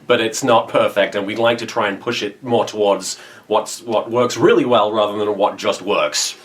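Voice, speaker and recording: Low-voiced man giving a casual update. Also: moderate pace. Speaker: online video creator and streamer. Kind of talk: casual vlog channel update.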